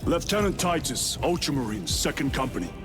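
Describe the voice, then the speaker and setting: A man's voice: mid-range voice, very animated, a little fast, natural voice. A man playing a commanding veteran space marine lieutenant in a video game, terse and authoritative. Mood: stern, terse. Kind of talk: video game military acting. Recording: loud music over voice.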